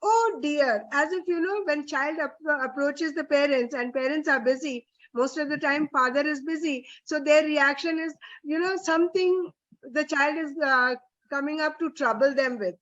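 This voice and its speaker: A woman coaches readers politely and encouragingly, often restarting her sentences. Her voice is high, and the recording is slightly muffled and lo-fi. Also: moderate pace, a bit bright. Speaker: reading workshop facilitator. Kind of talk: coaching a reader's theatre session.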